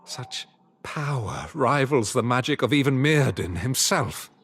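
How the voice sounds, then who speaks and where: An actor playing an earnest, troubled adventurer in a fantasy game, speaking in formal, old-fashioned phrasing. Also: male voice, mid-range voice, expressive, measured pace, a bit bright. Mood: solemn, determined. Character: earnest, troubled male adventurer, loyal to a missing friend. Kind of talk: fantasy game dialogue acting.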